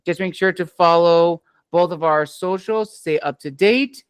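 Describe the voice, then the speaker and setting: A man's voice: sits high, unhurried, smooth, no echo. A man hosting an interview show, wrapping it up with an upbeat, formulaic sign-off. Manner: upbeat, formulaic announcer phrasing.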